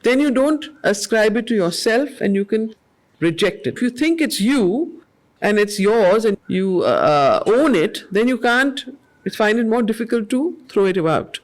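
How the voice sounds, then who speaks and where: A woman leading a study circle, reading verse slowly and then explaining it to the group in an earnest, questioning way. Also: a little high, big pitch swings. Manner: slow, measured recitation that repeats lines of verse, then conversational explaining; earnest, asks the group questions and answers them herself. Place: weekly study group gathering.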